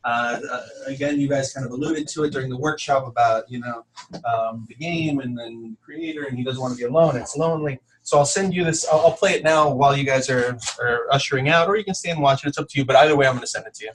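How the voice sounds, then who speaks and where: A man teaching an informal spiritual class to a small group, talking in a halting, conversational way. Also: medium pitch. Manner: halting, conversational, addresses listeners as friends. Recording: distant room mic with background noise.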